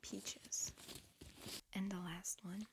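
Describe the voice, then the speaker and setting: A woman whispering breathily in a gentle, halting way, close to the mic, playing a politely fussy examiner in an ASMR roleplay. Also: lowish voice, steady tone, rough recording. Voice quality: breathy, mostly whispered with soft murmurs. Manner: gentle, unhurried, halting instructions. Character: disguised alien medical examiner, politely fussy and encouraging.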